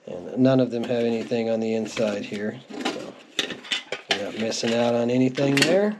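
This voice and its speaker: A man's voice: medium pitch, normal speed. A middle-aged man narrates a collection in a casual, unscripted, reminiscing way, as if chatting through it. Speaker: music collector presenting their collection. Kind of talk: casual show-and-tell narration. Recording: clearly noisy home recording with clicks.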